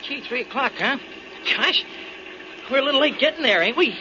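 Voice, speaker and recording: An actor playing a slangy, working-class sidekick with colloquial, ungrammatical talk in a 1940s-style radio drama. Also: male voice, extremely high pitch, expressive, normal speed, noisy background. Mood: eager. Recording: muffled old-time radio recording.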